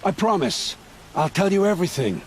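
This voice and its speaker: An actor playing a frightened, world-weary scrounger turned informant in a video game drama, speaking in halting, grim, folksy storytelling phrases. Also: male voice, high voice, sing-song, slight background noise, low-quality recording. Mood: pleading.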